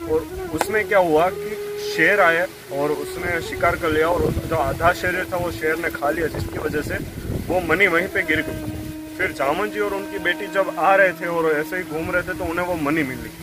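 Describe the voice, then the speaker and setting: A man's voice: fairly high pitch, moderate pace, background noise. A man, explaining things to viewers in a casual vlog style and often repeating himself. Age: 20s. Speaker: vlogger and on-site guide. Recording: loud music under speech. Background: loud instrumental music.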